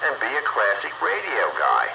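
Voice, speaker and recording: A man's voice: medium pitch, wildly swooping pitch, moderate pace, vocal fry. Folksy American man on noisy CB radio. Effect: two-way radio transmission. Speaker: net host on a CB radio round-up. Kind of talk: casual chat over CB radio. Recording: dull, noisy CB radio transmission. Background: loud radio static.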